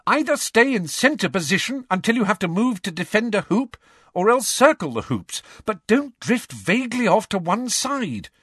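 A bossy young woman captain , put on by a male reader, barks orders, high-pitched and loud, her voice swinging up and down. The reading is close and dry. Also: very animated, normal speed, slightly rough, no echo, heavily acted. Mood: exasperated. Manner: barking, commanding.

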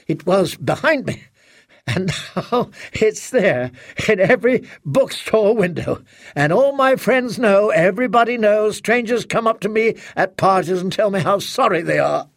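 Male reader as enraged young daughter, high and strained, gravelly, dry close read. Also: animated, a little slow, no echo, full character voice. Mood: bitter, furious. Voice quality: strained, accusing. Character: furious anguished young adult daughter. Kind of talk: audiobook character acting.

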